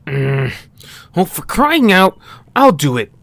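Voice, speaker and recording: Low, slow, dry young creature played by a woman. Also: big pitch swings, vocal fry, exaggerated voice. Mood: sardonic. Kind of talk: amateur audio drama acting.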